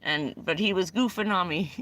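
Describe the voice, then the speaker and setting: A woman's voice: on the low side, lively intonation, normal speed, a bit bright. A middle-aged American woman hosting a livestream from inside a vehicle, chatting in a rambling way, laughing often and swearing casually. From United States. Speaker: livestream host.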